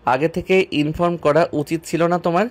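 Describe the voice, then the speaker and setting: A man's voice: on the high side, steady tone, normal speed, lightly acted. An actor playing a worried, exasperated young man in an audio story, scolding with a stern, agitated manner. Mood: stern, annoyed. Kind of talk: audio story dialogue acting. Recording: music under the voice. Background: background music.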